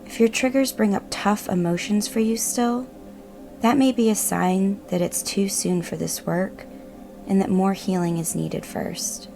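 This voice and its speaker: A woman narrating a guided meditation in a gentle, measured, reassuring manner. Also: mid-range voice, fairly even intonation, gravelly. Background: meditative music.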